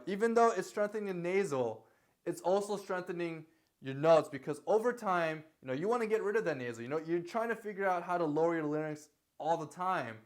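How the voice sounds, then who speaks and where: A young man teaching singing in a loose, casual way, full of fillers and frequent "okay?" check-ins. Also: sits high. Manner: sings short lines to demonstrate. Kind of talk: casual vocal lesson.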